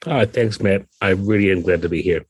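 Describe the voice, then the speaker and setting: A man's voice: deep voice, fairly quick, husky, dry recording. A man in his fifties from New Zealand, chatting casually as an interview guest. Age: 50s. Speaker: interview guest, game designer.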